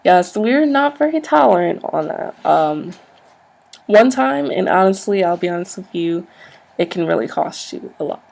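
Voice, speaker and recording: A soft-spoken woman in an ASMR roleplay, acting as a polite, businesslike job interviewer who explains things a little hesitantly. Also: fairly low pitch, lively intonation, moderate pace, vocal fry.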